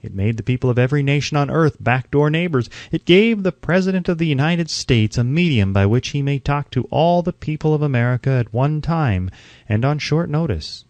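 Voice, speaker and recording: A man narrating a self-help audiobook from a script in a measured, declarative, oratorical way. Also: fairly high pitch, animated, a little mellow, dry recording.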